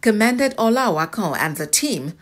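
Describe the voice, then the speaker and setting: A woman's voice: mid-range voice, very animated, normal speed. A woman with a Nigerian accent reading a scripted news report.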